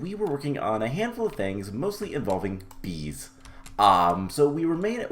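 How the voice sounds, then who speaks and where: Slightly raspy young man with a cold. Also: fairly low pitch, slight background noise. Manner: chatty, rambling, frequent ums and self-corrections. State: hoarse from a cold. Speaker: gaming channel host. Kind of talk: casual Minecraft modpack let's-play commentary.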